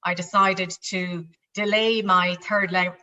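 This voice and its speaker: A woman's voice: on the low side, flat delivery, slow pace, sharp tone, highs cut off. A middle-aged woman with a Dublin Irish accent, a company chief executive answering interview questions in a chatty way, with fillers and restarts. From Ireland.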